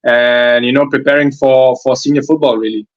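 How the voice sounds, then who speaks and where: A man in his twenties from Germany answers interview questions as a footballer guest. He talks hesitantly, full of fillers and restarts, at a middling pitch. The recording sounds dull and lo-fi. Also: steady tone, moderate pace. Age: 20s.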